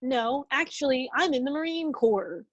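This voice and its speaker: A woman's voice: high voice, expressive, moderate pace, dry recording, lo-fi sound. A woman playing a blunt, indignant Marine in a comedy script read. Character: blunt, indignant female Marine challenging Cupid.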